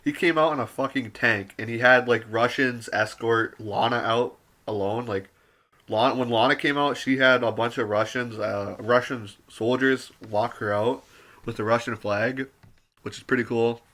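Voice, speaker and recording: A man giving a rambling, casual spoken review full of fillers and mild swearing, his voice turning raspy with occasional coughs. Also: medium pitch, steady tone, moderate pace, a bit bright. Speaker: wrestling show reviewer.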